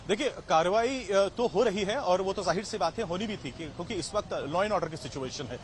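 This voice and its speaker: A man's voice: sits high, lively intonation, brisk. A male field reporter giving a live unscripted news update, mixing English words into his speech with some hesitant starts. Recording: faint outdoor city noise.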